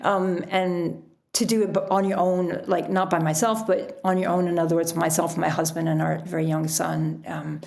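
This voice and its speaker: A woman's voice: fairly low pitch, flat delivery, normal speed, vocal fry, a little mellow. A woman talking casually and reflectively as a guest in an interview. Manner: reflective, chuckles often while talking. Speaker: podcast guest, author and sailor.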